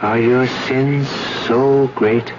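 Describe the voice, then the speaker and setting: An actor playing a polite, earnest young male student, gentle and a little hesitant, in a 1970s radio drama. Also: medium pitch, fairly even intonation, extremely slow, background noise. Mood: astonished, concerned. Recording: dull 1970s radio recording with music.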